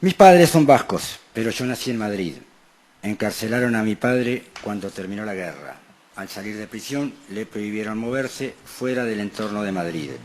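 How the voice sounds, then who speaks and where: A man's voice: on the low side, animated, moderate pace, darkish tone. A man co-hosting a radio show, reading a printed interview aloud. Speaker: radio co-host. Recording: noticeable background noise.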